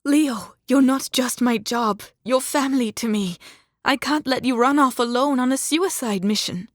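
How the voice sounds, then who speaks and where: An actress performs an anxious woman in a dramatic audiobook. Her voice sits fairly high and is urgent and emotional, pleading with someone she wants to protect. The sound is dry and studio-clean. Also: normal speed, no room sound, close to an everyday voice. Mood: pleading, afraid. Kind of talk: audiobook character acting, dramatic.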